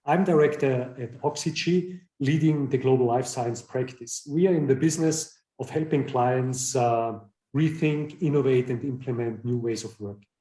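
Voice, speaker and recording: A middle-aged man hosting a podcast interview, speaking in a measured, semi-scripted way with hesitant pauses. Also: medium pitch, dark tone. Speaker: podcast host, consultant. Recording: roomy, echoey sound.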